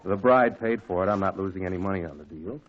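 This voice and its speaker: An actor playing a kindly, folksy caterer in a 1940s radio comedy, drawling and haggling, on a dull lo-fi old recording. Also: male voice, low voice, quick pace, noisy background, close to an everyday voice. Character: kindly older male shopkeeper and caterer, folksy.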